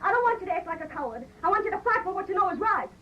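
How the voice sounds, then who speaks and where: A woman's voice: very high-pitched, sing-song, rapid-fire, heavy background noise. An actress playing a headstrong, outspoken young ranch woman in a 1940s Western radio drama. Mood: indignant. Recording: muffled old 1940s radio recording.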